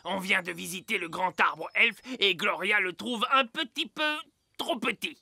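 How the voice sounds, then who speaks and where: A performer voicing a blustery, boastful male garden gnome with a gravelly voice in a children's cartoon. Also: medium pitch, unhurried, bright, forceful tone, no room sound, studio quality, full character voice. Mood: blunt. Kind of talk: animated children's cartoon dialogue.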